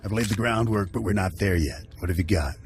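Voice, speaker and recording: A man playing a seasoned bounty hunter mentor who briefs in a steady, matter-of-fact way in video game dialogue. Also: fairly low pitch, quick pace, natural voice. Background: faint game music.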